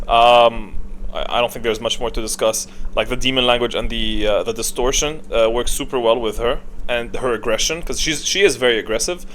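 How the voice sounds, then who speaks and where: A man with a middle-pitched voice talks through a gaming tier list in a casual, unscripted way. He rambles and thinks aloud, giving his opinions as he goes. Background noise sits audibly behind his voice. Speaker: gaming content creator, host. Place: indoors, voice-over on screen recording. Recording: clear steady background noise.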